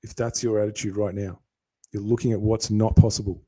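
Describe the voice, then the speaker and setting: Aussie man, husky mellow voice, lecturing on a live stream. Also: fairly low pitch, little pitch movement, brisk. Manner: lecturing, answers viewer comments.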